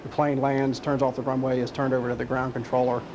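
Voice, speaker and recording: A man's voice: medium pitch, monotone, fast talker. An American man, an air traffic controller, explaining his work in an interview in a plain-spoken, matter-of-fact way.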